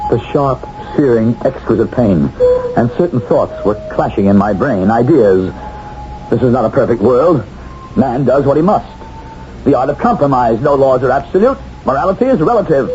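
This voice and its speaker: An actor playing a guilt-ridden former secret police colonel living as a gentle professor, anguished and troubled, in 1970s radio drama style. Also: male voice, medium pitch, lightly acted. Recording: muffled old radio broadcast, faint hiss.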